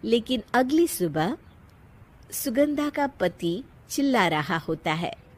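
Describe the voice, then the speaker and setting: A woman narrating a scripted moral story in a measured storytelling delivery. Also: fairly high pitch, very animated.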